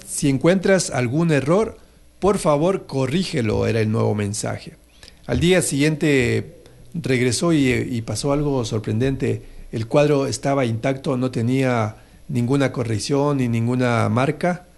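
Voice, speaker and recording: Gravelly, hesitant radio host. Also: male voice, medium pitch, a little mellow. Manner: hesitant, many fillers and restarts. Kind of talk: casual on-air talk with a moral story.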